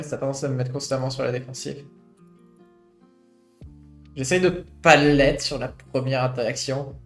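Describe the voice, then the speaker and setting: A man in his late twenties streaming, reading a study aloud and adding his own reflective commentary full of fillers. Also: mid-range voice. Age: late 20s. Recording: roomy echo with faint guitar music.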